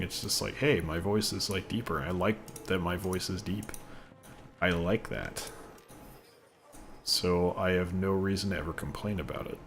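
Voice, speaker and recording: A man rambling casually while commentating on a gameplay video, with a voice he says sounds deeper since an illness. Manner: rambling, chatty, thinks aloud. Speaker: gaming video host. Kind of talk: casual let's play commentary.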